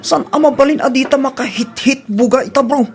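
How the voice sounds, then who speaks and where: A man acting a creaky, high-voiced young wayward son. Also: a little fast, a little hiss or hum. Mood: rowdy, scheming. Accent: Filipino. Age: young adult. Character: wayward, wheedling student son, thief among pals. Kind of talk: Ilocano radio-style drama acting.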